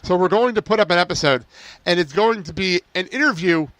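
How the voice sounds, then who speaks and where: A man with a high, lively voice chats through an episode recap. His delivery is informal and giggly, with casual swearing. The sound is bright and forward, like a podcast co-host talking off the cuff. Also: a little fast, no room sound, poor audio.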